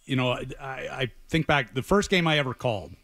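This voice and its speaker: A man's voice: mid-range voice, animated, fast, fairly bright tone, dry recording, studio quality. A man speaking casually and earnestly as a radio guest, telling personal stories in an appreciative way. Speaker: radio guest and sports play-by-play announcer. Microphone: close studio radio mic.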